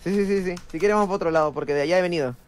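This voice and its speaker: A man's voice: high-pitched, very fast. A man chatting casually with friends while playing an online video game.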